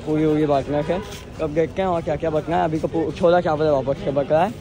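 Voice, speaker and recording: A young man chatting to viewers in a casual vlog, his voice hoarse from fever and the heat. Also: on the high side, fairly even intonation, quick pace. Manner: chatty, addresses viewers as bhaiya. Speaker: vlogger. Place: outdoors at a busy street food hall. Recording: outdoor phone recording with crowd and music. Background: crowd noise and music.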